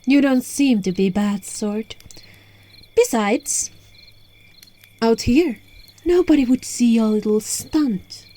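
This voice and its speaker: A woman's voice: on the high side, slow pace, pauses often, dark tone. A woman voicing an alluring, playful witch in an ASMR roleplay, teasing and coaxing the listener, recorded on a phone. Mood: earnest, coaxing. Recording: phone recording with music and night crickets.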